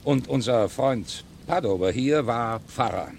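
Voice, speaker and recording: An actor playing a genial, composed old scholar who leads vagabonds in a German radio play. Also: male voice, mid-range voice, expressive, measured pace, vocal fry, slight background noise. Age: older.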